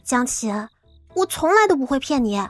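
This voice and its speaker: A woman playing a cute, innocent-acting young college girl in an audio drama, soft and matter-of-fact. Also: high voice, sing-song, normal speed, no room sound, soft background music. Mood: innocent, coy.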